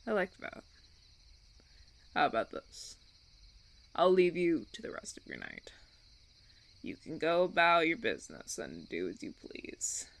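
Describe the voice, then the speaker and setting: A man playing a suave, menacing vampire recruiter in an audio roleplay, speaking deliberately with theatrical, patronizing persuasion. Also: mid-range voice, gravelly, a bit bright, pitched below the performer's own voice, full character voice. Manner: theatrical, deliberate, silky persuasion with veiled threats. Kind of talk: audio roleplay, ASMR monologue.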